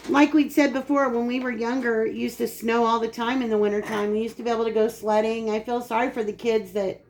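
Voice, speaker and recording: Raspy woman chatting while cooking. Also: on the high side, level tone, brisk, a little mellow, low-quality recording. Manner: chatty, explains steps while working. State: dry, raspy throat. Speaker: cooking channel host. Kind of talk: casual cooking demonstration. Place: home kitchen.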